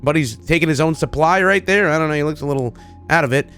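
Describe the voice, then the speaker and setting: Male gaming host, higher-pitched voice, chatty and excitable slangy commentary, faint music underneath. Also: fast talker. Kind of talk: casual reaction commentary to game images and clips. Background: background music.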